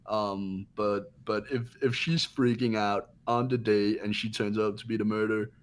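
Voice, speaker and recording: Male game commentator, casual and blunt, on the deeper side, conversational. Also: fairly even intonation, normal speed, smooth. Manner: blunt, sweary.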